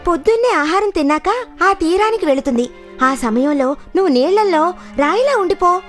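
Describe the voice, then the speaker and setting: A scheming crocodile wife from a kids' cartoon, pitched extremely high. The delivery is theatrical and insistent, with music playing behind it. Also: female voice, expressive, moderate pace. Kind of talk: children's cartoon voice acting.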